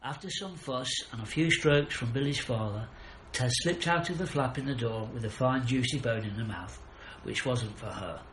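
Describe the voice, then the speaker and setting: A male storyteller reads a scripted children's tale with drama. His mid-pitched voice sits in a reverberant room with faint noise. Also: normal speed, few pauses, a bit bright. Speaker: author narrating own audiobook. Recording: roomy, faint background noise.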